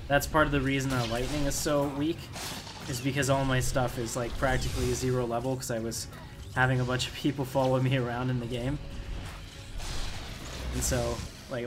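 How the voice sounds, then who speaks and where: A man giving casual, chatty gameplay commentary, thinking aloud to his viewers as he plays. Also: mid-range voice. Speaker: gamer hosting a playthrough.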